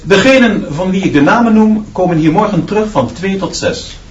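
Strict schoolteacher barking in echoey room. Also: male voice, high-pitched, animated, moderate pace, far from the mic. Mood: stern, commanding. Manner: barking curt commands and punishments. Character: strict new male schoolteacher, hard and unbending. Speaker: actor in a radio play. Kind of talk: Dutch radio drama acting. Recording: dull, noisy, echoey classroom sound from off.

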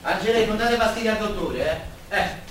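An actor playing a chatty, warm-hearted working-class Roman man in a radio play, with colloquial, expressive, gossipy talk. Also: sits high, fairly quick. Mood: chatty, scolding. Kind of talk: Italian stage-style radio theatre dialogue. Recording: distant, very echoey room, faint noise.

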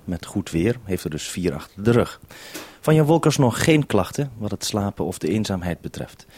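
A man presenting a live radio link-up as host, with measured, formal phrasing. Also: low-pitched, a little mellow, husky, dry recording.

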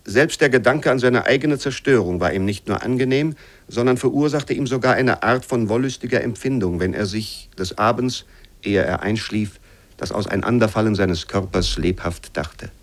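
A man narrating scripted literary prose for a radio play in a measured, formal manner. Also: on the low side.

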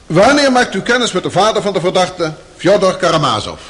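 An actor playing a stern, scornful Russian public prosecutor in a radio play, formal and insistent. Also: male voice, high-pitched, sing-song, fairly quick, a bit bright. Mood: stern questioning. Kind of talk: radio play courtroom acting.

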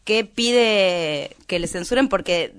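A woman's voice: medium pitch, expressive, slow pace, clean tone. Argentine woman telling a gossipy story. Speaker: radio show columnist. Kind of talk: casual chat, storytelling.

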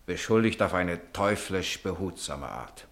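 Courteous gentleman writer in old radio play, deep voice, earnest and eloquent. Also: expressive, full character voice. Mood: earnest, determined. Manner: urbane, eloquent, measured. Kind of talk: 1950s German radio drama acting.

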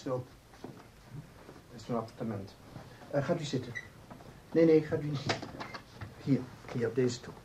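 An actor playing a calm researcher in a Dutch radio play, explaining formally and deliberately with small hesitations. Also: male voice, medium pitch, background noise, lightly acted. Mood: patient, explaining. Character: calm, explaining male researcher of time anomalies.

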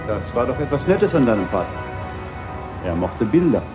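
An actor in a German radio crime drama playing a composed, guarded male schemer with a brisk, matter-of-fact manner. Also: mid-range voice, sing-song, over music, lightly acted. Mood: calm, assured.

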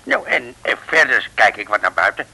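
Bright-voiced, naive lighthouse keeper. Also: male voice, medium pitch, sing-song, normal speed, gravelly, breathy edge, full character voice, rough recording. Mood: chatty, earnest. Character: simple, chatty lighthouse keeper who spies on the dunes. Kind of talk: 1960s Dutch comic radio serial acting. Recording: hissy old radio recording.

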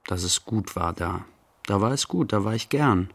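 An actor playing a pensive, serene man in a radio play, speaking a reflective literary monologue in halting fragments. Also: fairly low pitch, expressive, slightly rough. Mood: reflective, quiet. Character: pensive man musing inwardly, mildly serene. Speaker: actor, narrator.

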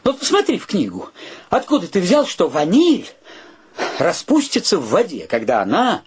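A male actor playing a sarcastic, excitable bachelor with a mocking, blustering manner in a radio play. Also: high-pitched, normal speed, husky, exaggerated voice. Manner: mocking, exclamatory, theatrical. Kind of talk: Russian radio play acting.

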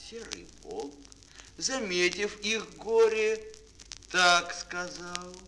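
A man's voice: high-pitched, animated, very slow, pushed and bright, rough recording. A man narrating a children's fairy tale in a dramatic, declamatory way, lingering on key words. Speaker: storyteller, narrator. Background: music.

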